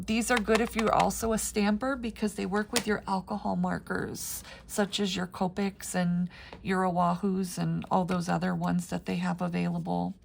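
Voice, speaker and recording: A middle-aged woman, raspy from the flu, chatting her way through a paper crafting tutorial with plenty of ums. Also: mid-range voice. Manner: chatty, rambling with frequent ums. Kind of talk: casual step-by-step craft tutorial.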